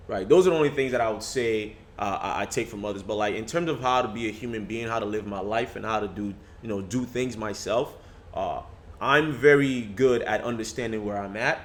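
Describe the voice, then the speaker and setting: A man's voice: fairly low pitch, fairly bright tone. A young man talking in a casual podcast discussion, reflective and full of restarts. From Nigerian family background. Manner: reflective, with frequent restarts and "you know".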